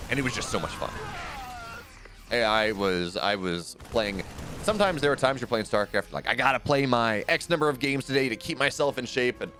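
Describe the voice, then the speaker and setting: Deep-voiced male game caster. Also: a bit bright. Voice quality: deep radio-style voice. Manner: conversational, rambling asides with frequent restarts. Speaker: esports caster. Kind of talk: live game commentary with chat asides.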